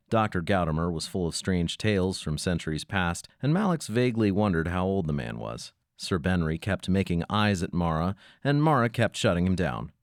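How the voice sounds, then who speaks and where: A man's voice: low-pitched, no room sound, clean studio sound. A man hosting and narrating a comic audio drama in scripted style. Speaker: host and narrator. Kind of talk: scripted story narration and announcements.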